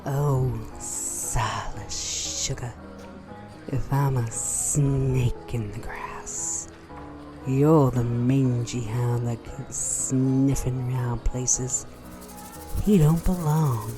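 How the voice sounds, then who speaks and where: A woman's voice: rumbling low, steady tone, extremely slow, over music, exaggerated voice. A woman playing a sly, venomous bounty hunter in a Western audio drama, with a sugary, mocking Southern American drawl. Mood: sweetly mocking. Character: sly, venomous female rival bounty hunter in Old West. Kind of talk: Western audio roleplay acting.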